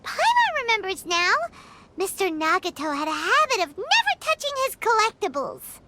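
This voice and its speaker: A woman voicing a tiny, childlike fairy companion in a video game, squeaky, chirpy and cheeky. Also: huge pitch swings, normal speed, very noisy, full character voice. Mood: excited realization. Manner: squeaky, exclamatory, refers to herself in third person. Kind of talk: video game character acting.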